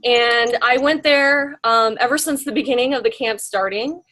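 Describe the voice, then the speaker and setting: A woman's voice: on the high side, normal speed, bright, forceful tone. A young woman telling her story in an interview, chatty and full of fillers and rhetorical questions. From United States. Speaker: interviewee, graphic designer.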